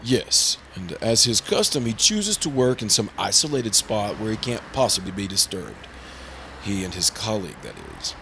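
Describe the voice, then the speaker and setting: A man's voice: fairly low pitch, big pitch swings, moderate pace, gravelly, a bit bright, slight background noise, full character voice. A man playing a prim, earnest, easily flustered insurance broker in old-time radio drama style. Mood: earnest, exasperated. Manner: prim, formal, exasperated. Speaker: supporting actor in a radio play.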